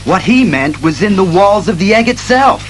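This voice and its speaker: An actor playing an earnest, methodical masked detective hero who reasons aloud in a declamatory audio drama style. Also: male voice, high voice, big pitch swings, faint music behind. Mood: earnest, resolute. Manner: deliberate, declamatory deduction.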